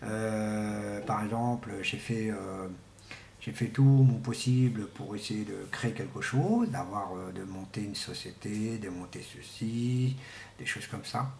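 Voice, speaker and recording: A man giving an informal, rambling monologue to viewers, speaking haltingly and often repeating words and restarting. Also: lowish voice, a little mellow. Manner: often clears throat. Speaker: vlogger. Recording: echoey room with faint background noise.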